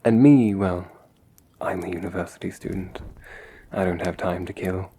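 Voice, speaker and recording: A man playing a courteous, brooding young vampire in an ASMR roleplay, speaking in a measured, formal, reassuring way. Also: deep voice, fairly even intonation, dark tone, slight background noise. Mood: grave, wry.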